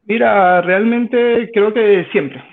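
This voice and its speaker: A Venezuelan man in his thirties, a guest in an interview, answering in a halting way with many restarts. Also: high-pitched, creaky. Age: 30s. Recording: tinny phone line.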